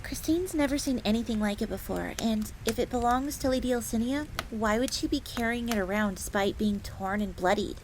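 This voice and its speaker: A woman's voice: on the high side, brisk, few pauses, natural voice. A woman playing an earnest, devoted young fox maid in a scripted fantasy roleplay audio drama, bookish and speaking of herself in the third person. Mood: puzzled, uneasy. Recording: steady ambient noise, crackling fire.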